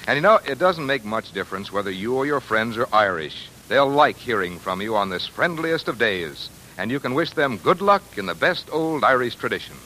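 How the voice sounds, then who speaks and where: A man's voice: medium pitch, big pitch swings, moderate pace, few pauses, bright, forceful tone, a little hiss or hum, low-quality recording. An American man announcing and reading sponsor copy in 1940s radio style on a vintage broadcast.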